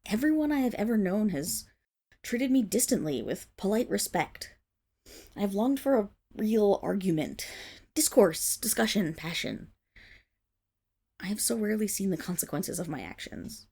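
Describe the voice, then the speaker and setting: A woman's voice: medium pitch, very animated, normal speed, warm tone, breathy edge. A woman playing a proud, indignant noble wolf-girl servant in an ASMR roleplay, with haughty, formal diction that falters when she is flustered. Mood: wistful, confessional. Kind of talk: ASMR roleplay, dramatic voice acting.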